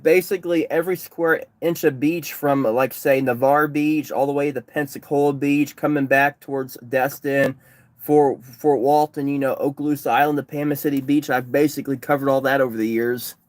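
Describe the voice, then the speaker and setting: A man's voice: mid-range voice, steady tone, normal speed, no echo. A man chatting on a livestream from his parked car, tired and sunburned, rambling and repeating himself. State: exhausted, sunburned. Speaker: livestreamer, distance swimmer. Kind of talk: casual livestream chat reading comments.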